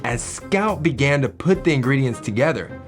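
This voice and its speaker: A man's voice: medium pitch, animated, normal speed, faint music behind. A man co-hosting a children's read-aloud, narrating in a playful, theatrical way.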